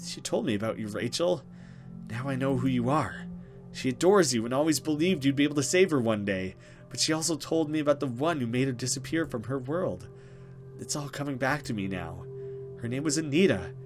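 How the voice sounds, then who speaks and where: A man voicing a frightened, mysterious woman escaped from captivity in a horror game, halting and dramatic. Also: medium pitch, expressive, music in the background, natural voice. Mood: grave, accusing.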